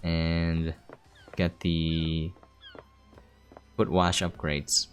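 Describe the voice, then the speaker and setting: Low-voiced woman commenting on gameplay. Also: level tone, very slow. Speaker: game preview host. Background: game music.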